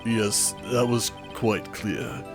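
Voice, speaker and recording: Man acting courtroom judge from a video game, solemn, measured, formal, creaky voice, faint music. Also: fairly low pitch, animated, pitched below the performer's own voice, heavily acted. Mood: stern, measured. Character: solemn, slightly muddled male courtroom judge.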